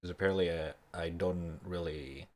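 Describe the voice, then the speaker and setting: Young male streamer, deep voice, loose rambling chat with casual swearing. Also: fairly even intonation, moderate pace. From Indonesia. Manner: rambling, laid-back, swears casually, chuckles often.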